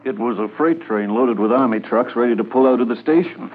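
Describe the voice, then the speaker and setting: A man plays a plain-spoken male railway cop in a fifties radio drama. His mid-pitched voice is clipped and factual, on a thin recording with faint noise. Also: fairly quick, narrow, tinny audio, lightly acted. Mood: urgent, factual. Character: plain-spoken, dutiful railway policeman reporting in. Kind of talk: 1950s radio drama acting.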